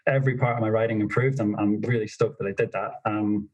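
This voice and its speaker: A young man telling stories as a podcast guest, in a self-described monotonous voice with lots of fillers. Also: lowish voice, fast talker, lo-fi sound. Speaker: snowboard instructor trainer, podcast guest. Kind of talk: casual interview storytelling.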